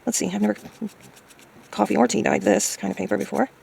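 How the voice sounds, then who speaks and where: A woman chatting casually as she crafts, thinking aloud hesitantly in a hoarse, gravelly voice. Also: mid-range voice, flat delivery, fast talker, dark tone. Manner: hesitant, musing to self. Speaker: craft video maker.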